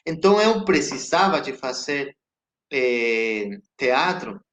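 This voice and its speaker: A man's voice: mid-range voice, animated, fairly bright tone. Chilean man speaking with a Spanish accent. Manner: reflective, halting with many restarts. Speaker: guest actor and theater director. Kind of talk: casual interview chat. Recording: roomy echo.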